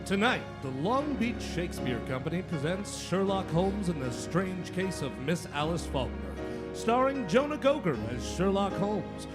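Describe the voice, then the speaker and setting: A man's voice: sits high, sing-song, moderate pace. A man announcing a radio drama in the style of an old-time show introduction. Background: loud music.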